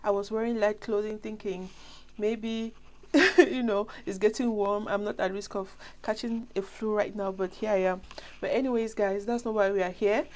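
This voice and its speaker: A woman's voice: mid-range voice, steady tone, moderate pace, darkish tone. A young woman with a Cameroonian accent who has a flu, chatting casually and ramblingly as she addresses her viewers directly. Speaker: vlogger.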